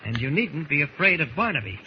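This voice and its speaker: An actor playing a firm, protective, confident leader in an old-time radio adventure, commanding and reassuring. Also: male voice, a little high, sing-song, a little fast. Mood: firm, probing. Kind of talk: 1940s radio acting. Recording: dull, hissy old radio recording.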